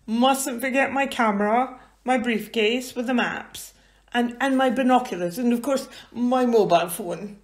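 Skeptical prim guy, brisk, dismissive, a touch high, a woman reading it out. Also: natural voice.

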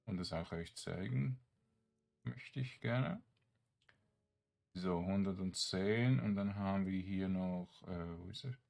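A man giving casual, halting game commentary, rambling as he thinks aloud. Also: low voice, vocal fry, a little mellow. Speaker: let's player. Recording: game music behind the voice. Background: video game music.

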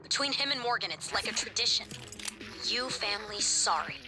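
A woman's voice: mid-range voice, lively intonation, brisk, music in the background, close to an everyday voice. A woman playing a blunt, irritable space station crew member in a video game. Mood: irritated.

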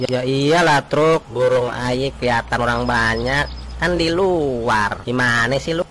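A man's voice: mid-range voice, measured pace, a bit bright, slight background noise, lightly acted. Man as a self-assured, scolding villager. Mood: indignant. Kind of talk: comic cartoon dialogue.

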